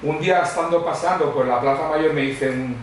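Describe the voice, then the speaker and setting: A middle-aged or older man gives a personal testimony to a church congregation in a conversational, expressive, digressive way, telling humorous anecdotes. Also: a little high, fairly quick. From Madrid, Spain. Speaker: guest preacher giving testimony. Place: church hall before a congregation. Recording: very echoey hall, distant mic, faint noise.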